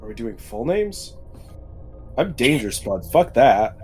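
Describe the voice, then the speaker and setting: A man with a mid-pitched voice chats as a tabletop player. He is casual and irreverent, with faint music playing behind his voice. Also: very animated, a little slow, darkish tone. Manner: irreverent, sweary. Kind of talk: casual chat and character description.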